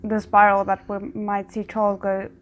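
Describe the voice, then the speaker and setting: A woman's voice: mid-range voice, monotone, moderate pace. A woman hosting a reaction video, thinking aloud about the story and talking back to the characters on screen. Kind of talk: casual reaction commentary.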